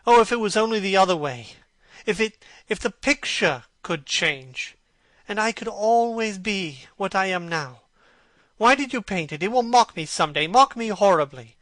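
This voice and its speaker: A man voicing a vain young gentleman who despairs over growing old, pleading and anguished. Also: sits high, lively intonation, unhurried, no echo, voice pushed up. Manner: pleading, rising to anguished outbursts.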